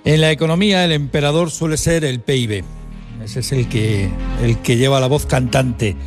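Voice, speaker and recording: A man's voice: mid-range voice, moderate pace, faint music behind. Wry male economics commentator. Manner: wry, ironic delivery. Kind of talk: scripted radio commentary.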